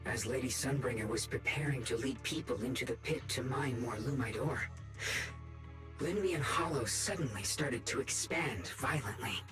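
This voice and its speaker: Gentle male bamboo-being storyteller voiced by a man, calm and measured, game cutscene with music behind. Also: mid-range voice, close to an everyday voice. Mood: somber. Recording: game audio with music under it.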